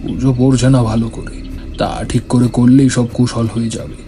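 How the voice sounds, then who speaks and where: A man's voice: medium pitch, little pitch movement, normal speed, mellow, soft background music, close to an everyday voice. A man playing a stern, authoritative tantric holy man who admonishes formally in a horror audio drama. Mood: grave, assured.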